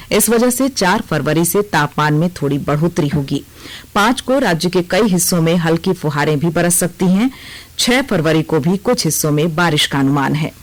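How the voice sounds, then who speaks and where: Formal Indian woman reading radio news. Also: on the low side. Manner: formal, measured announcing. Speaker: radio newsreader. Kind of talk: scripted news bulletin reading.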